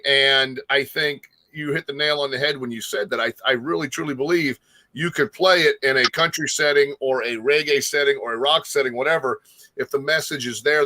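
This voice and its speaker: A man's voice: on the high side, pushed and bright. A middle-aged man hosting a casual music interview, speaking in an effusive, admiring manner. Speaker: talk show host.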